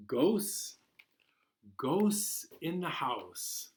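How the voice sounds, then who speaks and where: A man hosting a storytime for children, reading aloud expressively in a deliberate, encouraging way. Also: fairly high pitch, very animated. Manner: slow, deliberate storytelling with dramatic pauses. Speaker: library storytime host.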